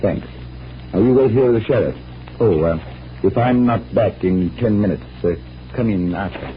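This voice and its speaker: An actor playing a composed, mysterious stage magician and detective, with polished and deliberate speech in old-time radio style. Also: male voice, lowish voice, close to an everyday voice. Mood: calm, purposeful. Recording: muffled old radio recording, faint noise.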